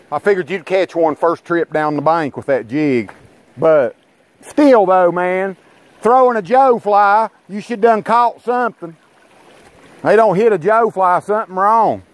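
A man giving rambling, folksy commentary to his viewers while fishing from a rocky riverbank, recorded on a body-worn camera. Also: on the high side. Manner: folksy, rambling, talks to viewers as guys and boys. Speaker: fishing vlogger. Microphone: body-worn action camera.

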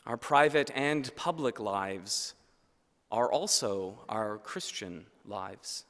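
A man in his thirties preaching a sermon at a lectern microphone in a large church, measured and earnest, letting his phrase ends fall low and quiet. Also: animated. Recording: long church reverb.

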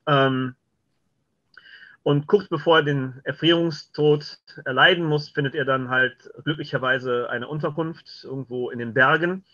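A man chatting casually as an interview guest, often halting as he searches for words. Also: a little high, sharp tone. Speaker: radio play director and interview guest. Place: home, video call. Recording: slightly dull video call audio.

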